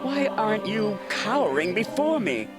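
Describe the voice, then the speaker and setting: Theatrical high-pitched eldritch villain. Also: male voice, big pitch swings, measured pace, over loud music. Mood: incredulous, rattled. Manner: pompous, theatrical, taunting. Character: ancient eldritch horror, male, haughty menacing theatrical villain. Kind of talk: dramatic video game voice acting.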